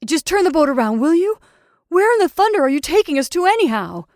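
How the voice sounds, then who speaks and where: Woman voicing a fussy, blustering, high-pitched man. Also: moderate pace, darkish tone, clear, resonant tone, no room sound, clean studio sound, natural voice. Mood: raging. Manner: blustering, protesting, raging. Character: freckled, fussy, excitable, hot-tempered man. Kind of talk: audiobook character acting.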